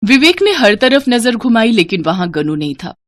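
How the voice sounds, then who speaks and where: Female storyteller for a moral tale, animated, light hiss. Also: on the high side, moderate pace. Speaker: narrator. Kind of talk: storybook narration.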